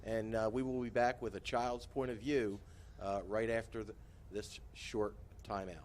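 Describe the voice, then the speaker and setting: A man's voice: on the low side, flat delivery, moderate pace, darkish tone, a little hiss or hum. A man hosting a community talk show, introducing guests in a loose, hesitant way. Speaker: host.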